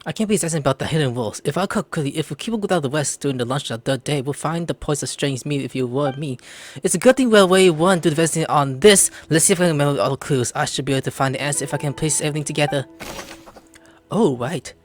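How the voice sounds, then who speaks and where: A woman's voice: low voice, fast. A woman giving casual, reactive let's-play commentary, thinking aloud as she plays. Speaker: gaming streamer. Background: game music.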